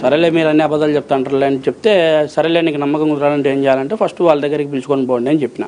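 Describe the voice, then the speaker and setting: Man, Rayalaseema Telugu accent, higher-than-average voice, rapid colloquial interview answers, forceful repetition and rhetorical questioning. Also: fairly even intonation. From Rayalaseema, Andhra Pradesh, India. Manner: emphatic, insistent, repeats phrases and asks rhetorical questions. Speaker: interview guest, approver witness in a criminal case.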